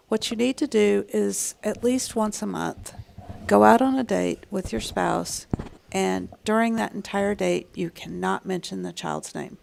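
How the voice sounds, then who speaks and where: Woman, radio guest, casual advice chat, matter-of-fact, heavy vocal fry. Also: mid-range voice, slight background noise.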